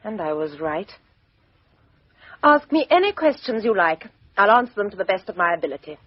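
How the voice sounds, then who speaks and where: An actress playing a cool, sharp-witted young British woman in a radio mystery drama, self-possessed and clipped in speech. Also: medium pitch, sing-song, normal speed, no echo. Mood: frank, composed. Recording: dull lo-fi radio drama recording.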